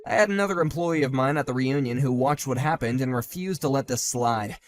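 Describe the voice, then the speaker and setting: A man voicing a calm, sardonic grown man who talks in a level, dry and cutting way, in a one-man voiced drama. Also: mid-range voice, fairly quick, soft background music, pitched below the performer's own voice, natural voice.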